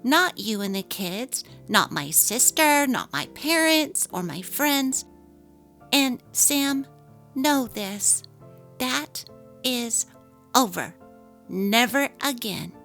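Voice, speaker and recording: An earnest young mother, acted by a woman, speaks in a high, crisp-edged bright voice. She is resolute and emphatic, heartfelt in her dramatic story reading, with music playing softly behind. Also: lively intonation, slow, exaggerated voice.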